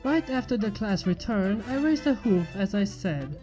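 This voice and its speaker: A woman's voice: medium pitch, lively intonation, moderate pace. A woman reading a first-person fan fiction story aloud as its narrator. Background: faint music.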